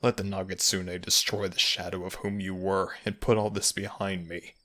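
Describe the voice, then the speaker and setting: A man voicing a possessive, protective male fox spirit in an intimate ASMR audio roleplay, brooding and gravelly, with old-fashioned formal speech. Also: low-pitched, flat delivery, normal speed, bright, forceful tone. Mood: brooding, longing. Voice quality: gravelly, breathy at times.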